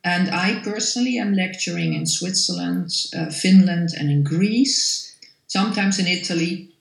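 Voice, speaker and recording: Interview guest, female, Dutch accent, native Dutch speaker, gravelly creak, explanatory delivery with pauses, roomy echo. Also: fairly low pitch. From Netherlands. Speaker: physiotherapy lecturer being interviewed. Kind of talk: interview conversation, explaining professional work. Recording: echoey room sound.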